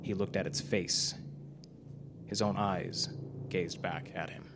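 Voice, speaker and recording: A man's voice: deep voice, steady tone, takes it slowly. A man reading a scripted story aloud as dramatic narration at a live performance. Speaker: story reader. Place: performance club before live audience. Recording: live club recording, faint noise.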